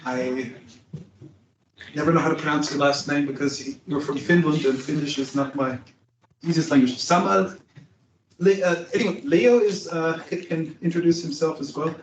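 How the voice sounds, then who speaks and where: A man's voice: a little high, normal speed. A man moderating a panel discussion, loose and chatty with fillers. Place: large conference hall. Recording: echoey hall, distant dull mic, faint noise.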